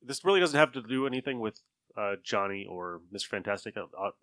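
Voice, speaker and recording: A man's voice: fairly low pitch, animated, quick pace, a bit bright, no echo. A man hosting a podcast, talking in a casual discussion with friends. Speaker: podcast host.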